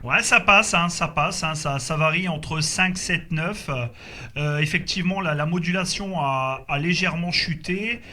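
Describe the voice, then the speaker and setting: A man's voice: on the high side, measured pace, bright, forceful tone. A man chatting casually as a radio operator, repeating his phrases in a hesitant, agreeable way. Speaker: amateur CB radio operator recording the group contact. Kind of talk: casual radio contact chat. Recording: faint background noise.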